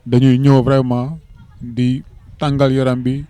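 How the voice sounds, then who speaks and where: Senegalese official talking earnestly, mellow warm voice, really dry sound. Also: male voice, medium pitch, flat delivery, measured pace, clear, resonant tone, no room sound. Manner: earnest, mixes in French words. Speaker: civil registrar. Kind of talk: interview testimonial.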